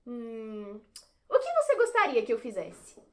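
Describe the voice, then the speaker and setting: A woman's voice: on the high side, huge pitch swings, a little slow. A woman voicing a formal, theatrical fairy who grants wishes with grand declamation in an audio play. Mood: grandly formal.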